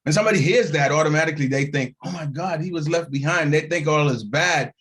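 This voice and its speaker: A man's voice: fairly high pitch, fast. A man from Haiti, a guest actor, telling a story in a casual interview, laughing at himself and acting out the people he quotes. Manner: animated storyteller who acts out quoted voices and laughs at himself.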